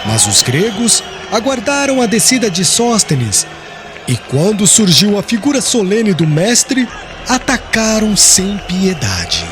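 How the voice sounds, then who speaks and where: A man reading scripted audiobook narration as an expressive, solemn storyteller. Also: sits high, slow pace. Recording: music behind the voice.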